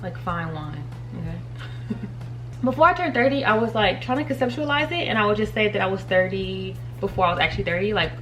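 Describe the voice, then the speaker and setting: A woman's voice: medium pitch, animated, fairly quick, fairly bright tone, slight background noise, rough recording. Chatty, giggly woman of thirty. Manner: chatty, giggly, addresses viewers as y'all. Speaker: beauty and faith vlogger. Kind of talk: casual makeup tutorial chat.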